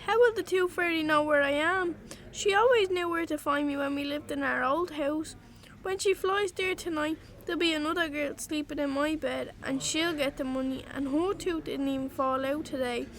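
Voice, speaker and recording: A woman's voice: high voice, normal speed, a bit bright. A female performer in a radio play voicing an upset young child with an innocent, whiny manner. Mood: plaintive.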